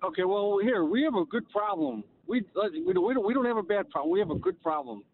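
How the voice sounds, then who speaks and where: A man's voice: high-pitched, expressive, a little fast. A man calling a sports radio show on a dull, slightly noisy phone line, arguing his opinion casually and repeating phrases.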